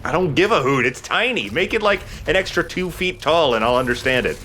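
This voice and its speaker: A man's voice: on the high side, lively intonation, a little fast, bright, forceful tone. A man hosting a let's play, chatting casually over his game with self-deprecating banter. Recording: clear background noise. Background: game sound.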